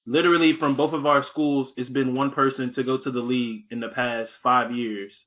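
A man's voice: mid-range voice, level tone, a little fast. Laid-back young man on a phone line. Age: young adult. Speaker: podcast guest. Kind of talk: casual interview chat. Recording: tinny phone-like call audio.

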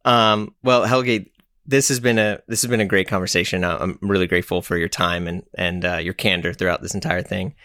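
A man's voice: low-pitched, fairly even intonation, a little fast, sharp tone, no echo, studio quality. A man hosting a podcast, friendly and chatty, who laughs easily while interviewing his guest. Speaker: podcast host. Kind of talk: casual podcast interview and outro.

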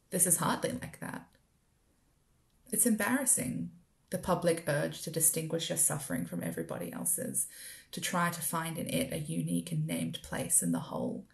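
Australian woman, spoken-word writer, lowish voice with heavy fry, measured literary read. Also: huge pitch swings. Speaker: writer performing own work.

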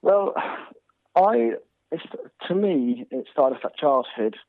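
A man's voice: medium pitch, lively intonation, slow, no room sound. A man from North Wales, a guest hunter chatting casually in an interview, rambling with lots of restarts. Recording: tinny phone line.

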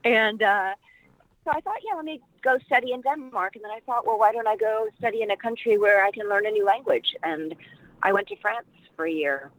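A woman's voice: mid-range voice, fairly quick, a bit bright, low-quality recording. A middle-aged woman from Washington, D.C., an actress and dialect coach, talking casually as an interview guest in a rambling, reflective way with many fillers.

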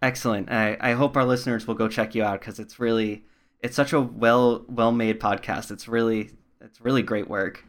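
A man with a mid-range voice hosts a relaxed, conversational podcast interview. He comes across as polite and encouraging toward his guest. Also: medium pitch, normal speed. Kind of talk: casual interview hosting.

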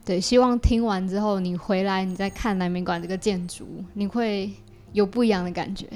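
A woman's voice: mid-range voice, fairly even intonation, a little fast, mellow, a little hiss or hum. A woman with a Taiwanese Mandarin accent, explaining things in a casual interview as a podcast guest. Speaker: podcast guest, creator of an audio theatre work.

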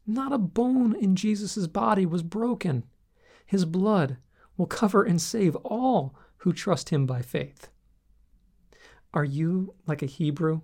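A man reading a scripted devotional teaching aloud, with measured, deliberate phrasing and a solemn, earnest manner. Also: high-pitched, gravelly, dark tone. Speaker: Bible teacher reading own article.

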